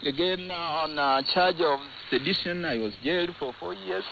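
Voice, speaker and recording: A middle-aged or older man with a Kenyan accent, recalling the past haltingly in a radio interview. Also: a little high, vocal fry. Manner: hesitant, halting delivery. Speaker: interviewee, former student activist. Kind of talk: recollection in an interview. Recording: noisy, hissy shortwave radio interview clip.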